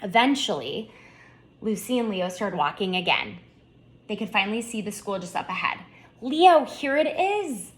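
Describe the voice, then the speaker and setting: A woman's voice: medium pitch, normal speed. Woman reading a children's storybook aloud. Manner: expressive, clearly phrased read-aloud for children. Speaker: author reading her book aloud.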